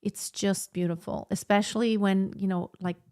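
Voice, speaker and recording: A woman in her thirties chats through a live stream as a vocal coach, answering viewers in a loose, rambling way. Her voice sits at an ordinary middle pitch. She talks with plenty of fillers and restarts. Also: fairly even intonation, normal speed, a little mellow, clear, resonant tone. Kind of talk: casual live-stream chat reading viewer comments. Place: home in southern Germany.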